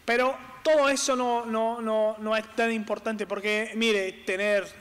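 A man's voice: high-pitched, little pitch movement, fairly bright tone, clear, resonant tone. A man in his thirties from Brazil with a Brazilian Portuguese accent, giving a conference talk from a table in a brick-walled hall, hesitating often and searching for words. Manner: halting, searches for words with many fillers. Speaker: conference speaker. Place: large hall with brick walls, on stage at a table. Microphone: desk microphone on stand. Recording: long hall reverb.

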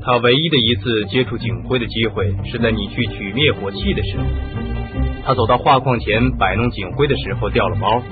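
An actor playing a sceptical, businesslike police inspector who questions things in a radio mystery. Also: male voice, mid-range voice, a little fast, close to an everyday voice. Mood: grudgingly impressed. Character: sceptical male police inspector, grudgingly impressed. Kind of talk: Chinese radio mystery drama acting. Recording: muffled lo-fi radio drama, music behind.

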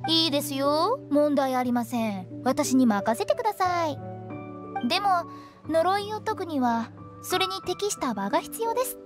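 Brisk, confident young female undertaker boss for an anime game; high, very lively delivery. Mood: confident, commanding. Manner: animated, confident, bossy. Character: brisk, playful young female funeral parlor director. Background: background music.

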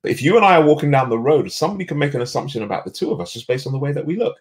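A middle-aged man with a deep, resonant voice, talking emphatically as a guest on a video call from a home office. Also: racing. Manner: animated, emphatic storyteller. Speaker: guest, leadership coach.

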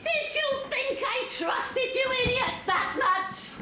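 A woman's voice: extremely high pitch, expressive, slow, heavily acted. A woman playing a gloating, spiteful pantomime witch, declaiming and cackling in amateur stage style. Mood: scornful. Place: village hall stage with audience. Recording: echoey noisy hall on camcorder.

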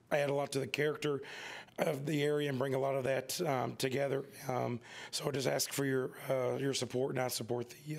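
A middle-aged man with a cold, losing his voice, giving halting public remarks as a council member at a podium. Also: medium pitch, creaky. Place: indoor meeting room. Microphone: podium microphone.

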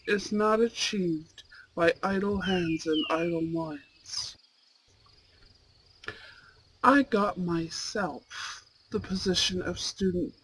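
A woman voicing a proud, imperious young male student in a roleplay audio drama, with haughty, formal and deliberate diction. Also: on the low side, slight background noise, rough recording. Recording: steady outdoor bird and insect ambience. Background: birdsong and insects.

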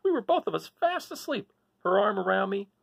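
A man's voice: high voice, very animated, brisk, vocal fry, fairly bright tone, slightly rough, pitched above the performer's own voice, full character voice. A man voicing a folksy little dog who narrates a scary adventure in rural American dialect, as in a children's read-aloud. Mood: calm.

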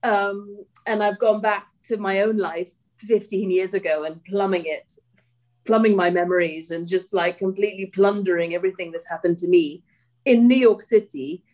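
A woman's voice: mid-range voice, steady tone, moderate pace, a little mellow, poor audio. A woman writer chatting on a video call panel, rambling and hesitant, often trailing off and starting over. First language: English. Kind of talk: casual panel talk.

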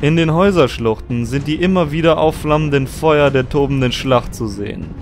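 A man's voice: a little high, fairly quick, faint music behind, close to an everyday voice. A man acting as the solemn narrator of a space war saga, reading scripted narration in an audio drama. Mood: solemn, descriptive.

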